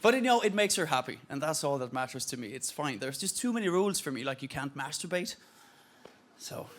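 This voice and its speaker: A high-pitched man in his forties, raised in Denmark, whose English carries an Irish-sounding lilt. He tells deadpan, self-mocking stories into a handheld mic on a theatre stage. A loud wash of noise sits behind his voice. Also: fairly quick. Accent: Danish speaker with an Irish-sounding English accent. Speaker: stand-up comedian. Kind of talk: stand-up comedy set. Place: theatre stage with live audience.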